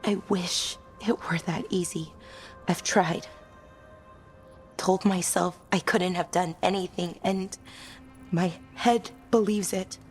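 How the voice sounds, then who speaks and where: An actress playing a young, earnest female elf companion in a video game, grieving and guilt-ridden, with soft, halting delivery. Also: fairly low pitch, steady tone, faint music behind. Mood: grieving, subdued. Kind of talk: video game dramatic voice acting.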